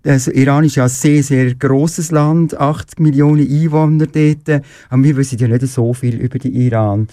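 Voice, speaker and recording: Warm-toned man hosting an interview. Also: medium pitch, moderate pace, hardly any pauses, no echo, clean studio sound. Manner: supportive, rephrases guest's words, steady questions. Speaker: radio show host. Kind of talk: interview conversation.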